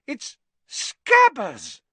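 A man's voice: sits high, sing-song, moderate pace, no room sound, voice pushed up. A man voicing an anxious, bossy and brave teenage schoolgirl in a storybook reading. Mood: shrieking, shocked.

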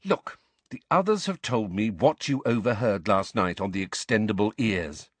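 A man voicing a brisk, bossy, clever teenage schoolgirl in an audiobook, airy and impatient in manner. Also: on the low side, lively intonation, dry recording. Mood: impatient, sharp.

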